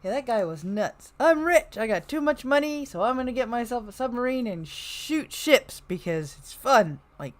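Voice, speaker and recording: Higher-voiced woman hosting casually. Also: animated, normal speed, hardly any pauses. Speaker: livestream host. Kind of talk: casual chat.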